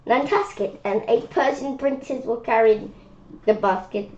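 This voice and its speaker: A woman's voice: a little high, unhurried, darkish tone, muffled recording. A woman reading a rhyming picture book aloud informally, often stumbling over words and repeating phrases. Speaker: children's book read-aloud reader.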